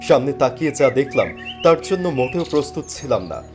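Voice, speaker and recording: A man's voice: mid-range voice, very animated, a little fast. A man giving dramatic, scripted first-person narration of a story. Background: background music.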